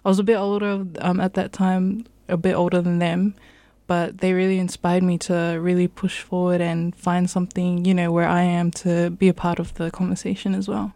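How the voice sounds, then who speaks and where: Young New woman, low and flat. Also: normal speed, no room sound. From New Zealand. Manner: chatty, many fillers like "um" and "like". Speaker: climate activist guest. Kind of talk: casual interview answers.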